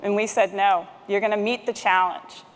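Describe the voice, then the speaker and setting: A woman's voice: mid-range voice, fairly even intonation, moderate pace. A middle-aged woman giving a prepared conference presentation from a stage as a speaker. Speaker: conference speaker, technology manager. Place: large conference stage, indoors. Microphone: headset microphone. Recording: long hall reverb.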